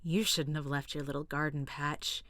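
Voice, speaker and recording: A woman's voice: low-pitched, fairly even intonation, a bit bright, dry recording. A woman playing a tough, bitter feline soldier in a fantasy audio drama, blunt and sardonic. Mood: mocking. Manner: sardonic, blunt, slow drawl. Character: tough, bitter female feline war beast. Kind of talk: scripted audio drama acting.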